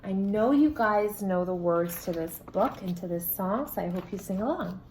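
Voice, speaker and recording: A woman reading a picture book aloud to young children in an expressive, sing-song way, putting questions to her listeners. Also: medium pitch, normal speed, echoey room, slight background noise. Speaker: children's story reader. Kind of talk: read-aloud for young children with sung refrains.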